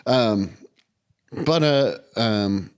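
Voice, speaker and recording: A man's voice: medium pitch, expressive, drawn-out words. A man interviewing casually, hesitant and dotted with ums and uhs. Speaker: guest interviewer.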